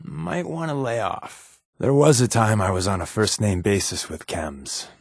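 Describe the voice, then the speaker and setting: An actor playing a wisecracking undercover agent and loyal sidekick in a video game, with an American accent and a breezy, sarcastic way of talking. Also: male voice, fairly low pitch, sing-song, unhurried, dry recording, clean studio sound, exaggerated voice. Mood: sardonic, breezy. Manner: sarcastic, breezy, casual quips. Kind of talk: game companion voice lines.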